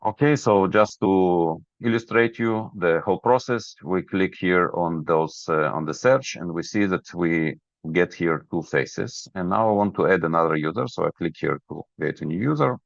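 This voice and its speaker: Very deep, gravelly non-native English-speaking man. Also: normal speed, hardly any pauses. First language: not English. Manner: methodical, step-by-step, checks understanding. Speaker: webinar presenter, product trainer. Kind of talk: software demonstration and explanation. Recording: roomy, dull lo-fi webinar audio.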